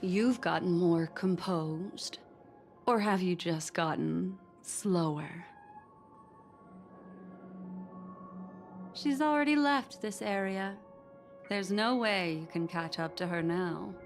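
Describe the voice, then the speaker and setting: An actress playing a cool, stern and suspicious woman in a video game, speaking in a measured, guarded, cutting way. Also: lowish voice. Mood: cool, probing. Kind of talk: dramatic video game voice acting. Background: faint background music.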